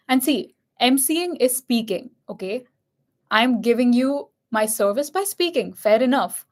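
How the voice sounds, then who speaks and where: A young woman from India with a light Indian accent, talking in an earnest, candid and reflective casual chat. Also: a little high. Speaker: host and MC.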